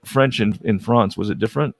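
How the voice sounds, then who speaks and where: A man's voice: fairly low pitch, a little fast, vocal fry, husky. A man hosting a casual livestream interview, friendly and prone to stumbling restarts. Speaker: host.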